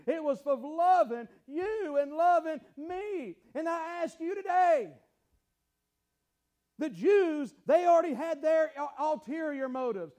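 A man preaching a fiery sermon, often breaking into shouts and stumbling over repeated words as he presses his point. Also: very high-pitched. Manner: impassioned, bursts into shouting, repeats phrases.

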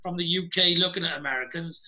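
Welsh male host, high and very bright voice, rambling with many ums, video call. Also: fast talker, lo-fi sound. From Wales. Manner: rambling, hesitant, many fillers and restarts. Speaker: podcast host. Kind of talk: casual video-call chat.

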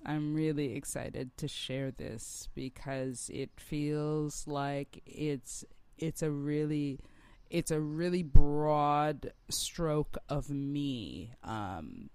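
A woman's voice: deep voice, darkish tone, clean tone, dry recording. A woman, a rock singer, talking as an interview guest in a reflective way, searching for her words as she goes. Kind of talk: conversational interview.

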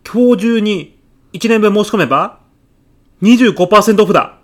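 Man acting a lively, sly, mild-mannered classmate. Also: sits high, moderate pace. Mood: sly sales pitch. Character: mild, self-effacing, slyly pitching male classmate, thirties. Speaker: actor in an audio comedy sketch. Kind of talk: short comedic radio drama dialogue.

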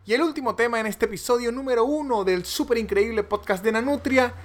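A man's voice: very high-pitched, fairly quick, faint music behind. A man hosting a comedy podcast, rambling sarcastically through a monologue and correcting himself as he goes. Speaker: podcast host. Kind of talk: comic monologue.

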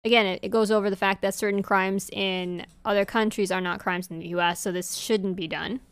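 Woman co-host, English not her first language, smooth clear voice, dry close sound, animated and rambling. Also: medium pitch, brisk, no echo. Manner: animated, rambling with many fillers. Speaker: podcast co-host. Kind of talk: casual chat.

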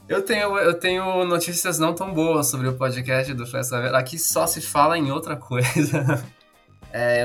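A man's voice: medium pitch, a bit bright. A man in his thirties with a Brazilian accent, chatting casually and jokingly as a podcast co-host. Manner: playful, joking. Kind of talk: casual chat.

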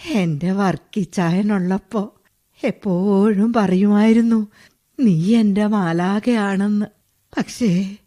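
A woman's voice: medium pitch, dark tone. A woman acting a bedridden old widow in a Malayalam radio drama, with a Kerala accent, sighing between phrases, nostalgic and firm yet motherly.

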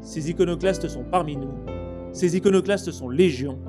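A man reads a scripted show introduction in a measured, declamatory way. Music plays clearly underneath him. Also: a little high. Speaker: podcast host. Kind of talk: scripted narration.

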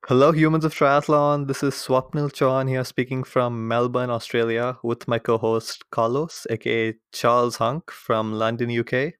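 Male podcast host with a smooth, dry, close voice; upbeat and even. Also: mid-range voice, normal speed, few pauses, dry recording, faint music behind. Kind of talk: podcast interview, scripted show opener.

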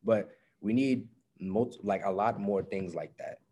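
Relaxed young man, Liberian accent. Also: lowish voice, a little slow. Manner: relaxed, trails off into softer speech. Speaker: guest. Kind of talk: casual discussion.

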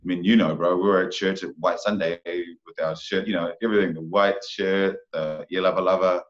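A man from New Zealand, born in Samoa, with a New Zealand accent, telling his story as a guest in a casual interview, pausing often with fillers and restarts. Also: low-pitched, fairly even intonation, highs cut off. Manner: halting, many fillers and restarts. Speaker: guest, film actor.

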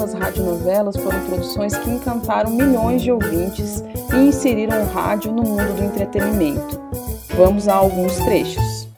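A woman with a Brazilian accent presenting an audio lesson in scripted educational narration. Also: mid-range voice.

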